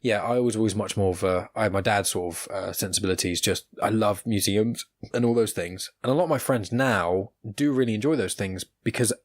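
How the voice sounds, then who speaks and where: A male podcast host with a low voice, talking quickly in a close, dry recording. He chats casually and rambles along with earnest enthusiasm. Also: no echo. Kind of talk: casual conversational interview.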